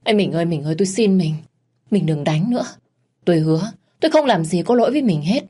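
A woman's voice: lowish voice, animated, fast talker, mellow, breathy edge. A woman voicing a cowardly cheating husband begging his wife to stop beating him. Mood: pleading.

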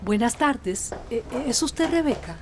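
A woman's voice: a little high, expressive, a little slow, very noisy. An actress playing a flustered, strict mother who frets about appearances, with a Costa Rican accent, in a radio drama. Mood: fretful. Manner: fretful, scolding.

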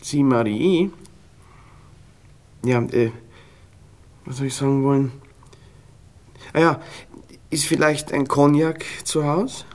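An actor playing a nervous, well-off young gentleman with a Viennese accent, halting and distracted, in a radio play. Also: mid-range voice, fairly even intonation, close to an everyday voice. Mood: restless, commanding. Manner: halting, distracted, faintly bossy.

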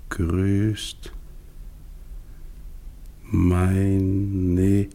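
An actor playing a middle-aged, exhausted polar expedition captain who is freezing and fading, speaking in halting, resigned fragments with a husky voice. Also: male voice, deep voice, completely flat delivery, warm tone, heavily acted. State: freezing, weakening. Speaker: expedition leader. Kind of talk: radio drama monologue.

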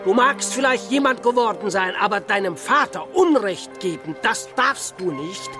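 A high-pitched, ranting old peasant father. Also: expressive, moderate pace, over music. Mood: indignant, scolding. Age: older. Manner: ranting, scolding. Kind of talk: German radio play acting.

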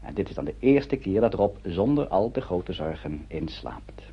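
Husky, expressive male storyteller. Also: sits low, normal speed. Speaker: radio play narrator. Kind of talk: scripted narration. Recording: dull lo-fi radio recording.

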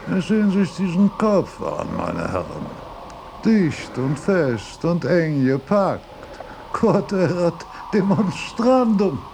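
Radio drama: fussy, pedantic old thinker, slow delivery with lively swings, easily distracted, noisy ambience. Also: male voice, a little high, vocal fry, heavily acted. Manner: halting, emphatic, pedantic.

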